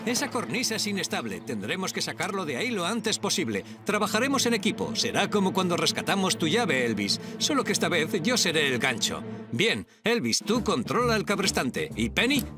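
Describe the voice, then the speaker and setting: A high-pitched, lively firefighter hero. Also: male voice, brisk, music in the background. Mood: focused, commanding. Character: calm, dependable adult male firefighter hero. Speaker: voice actor in a dubbed children's cartoon. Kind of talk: animated children's series dubbing.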